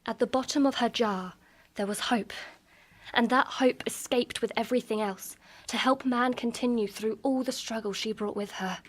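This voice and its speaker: A woman playing a mysterious, teasing young beauty who tells an ancient myth in a measured, suspenseful way for a radio drama. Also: on the high side, natural voice. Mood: solemn storytelling. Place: live on a festival stage.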